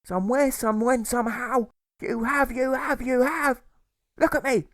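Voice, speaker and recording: A man voicing a hostile elderly mother who scolds in halting, repeated bursts, in an audiobook. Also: very high-pitched, steady tone, a bit bright, no echo, studio quality, pitched above the performer's own voice. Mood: outraged, scolding. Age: older. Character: hostile, ashamed elderly mother, thin and wrinkled.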